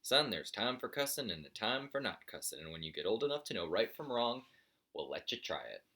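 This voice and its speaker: Man doing a cranky old buzzard dad, pitched up. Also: sing-song, a little fast, pushed and bright, exaggerated voice. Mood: indignant, blustering. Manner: nagging, blustering, folksy lecturing. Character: cranky, scolding old father buzzard, folksy and greedy. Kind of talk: children's storybook character voice.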